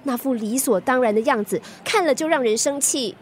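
An actress playing a stubborn, jumpy young student with a Singaporean accent, complaining expressively in a radio drama. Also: female voice, high-pitched, brisk, a little hiss or hum, close to an everyday voice. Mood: weary, grumbling. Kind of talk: radio drama acting, conversational.

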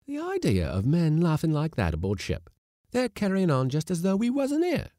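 A man's voice: on the low side, huge pitch swings, measured pace, creaky, breathy edge, dry recording, clean studio sound, voice pushed up, exaggerated voice. A man voicing an indignant, grumbling ship's mate in a storybook. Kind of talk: storybook character voice.

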